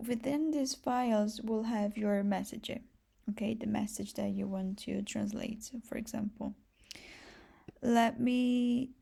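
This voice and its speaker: A soft-spoken woman with a Brazilian accent, a native Portuguese speaker, giving a casual step-by-step screencast tutorial. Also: medium pitch, gravelly. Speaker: programming tutorial host.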